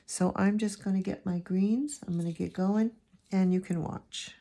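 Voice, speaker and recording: A woman with a raspy, allergy-hoarse voice, chatting and rambling as she teaches a watercolor painting lesson, recorded on a phone camera. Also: mid-range voice, steady tone, moderate pace, mellow. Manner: chatty, rambling, thinks aloud while painting. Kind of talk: casual painting tutorial.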